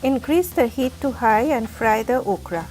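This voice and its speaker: Cooking host, female, raspy and heavy from illness, slightly high voice, methodical instructional read. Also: lively intonation, normal speed, darkish tone, faint music behind. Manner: careful, step-by-step instructing. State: hoarse from a flu. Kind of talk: recipe voice-over narration.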